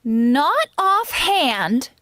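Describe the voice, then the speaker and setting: A woman's voice: high voice, sing-song, very slow, fairly bright tone, no echo, full character voice. A woman playing a loyal, wry housemaid in a 1940s-style radio comedy, with a dry, knowing, deferential manner. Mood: matter-of-fact. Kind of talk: 1940s radio sitcom acting.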